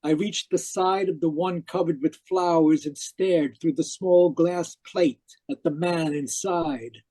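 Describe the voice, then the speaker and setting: An actor playing an obsessive, domineering uncle in an old-style radio mystery, with theatrical, emphatic delivery. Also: male voice, fairly high pitch, fairly even intonation, measured pace, mellow. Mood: grave, haunted narration. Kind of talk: 1940s radio mystery acting, narrating and dialogue. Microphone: video call. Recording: muffled-edged online video call.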